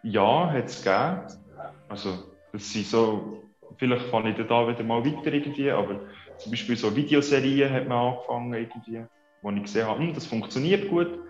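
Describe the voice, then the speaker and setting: A man's voice: mid-range voice, brisk. A man in his thirties with a Swiss German accent, chatting casually as an interview guest on a video call. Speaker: interview guest, social media creator. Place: small room indoors on a video call. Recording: echoey, dull video-call sound, music behind.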